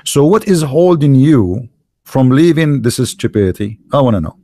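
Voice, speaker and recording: A man's voice: medium pitch, expressive, normal speed, dark tone, dry recording. A man hosting a call-in debate show, arguing in a mocking, pushy way with rising emphasis. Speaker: show host.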